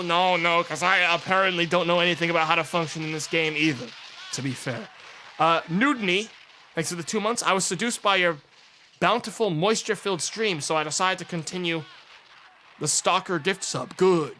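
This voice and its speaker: A young man streaming live, his voice hoarse and raspy. It sits a little high and has a bright, forward edge. He talks to chat in a loose, rambling way and lets sentences trail off. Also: a little hiss or hum. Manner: rambling, offhand, trails into mumbles. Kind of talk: casual live-stream chat, thanking subscribers.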